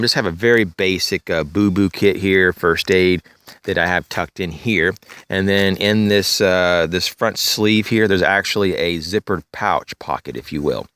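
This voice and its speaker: A man with a slightly raspy, congested voice, casually reviewing his backpack outdoors, rambling with many fillers and clearing his throat. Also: low-pitched, a bit bright. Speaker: gear reviewer, channel host. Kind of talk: casual show-and-tell gear review. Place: outdoors in leafy woods, bag on a chair.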